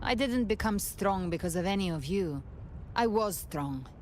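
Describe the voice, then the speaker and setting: An actress playing a proud, battle-hardened young huntress in a game cutscene, deliberate and resolute in her delivery. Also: medium pitch, expressive, darkish tone, a little hiss or hum, close to an everyday voice. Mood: cool confidence. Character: defiant, battle-hardened young female demon hunter. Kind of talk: video game cutscene acting.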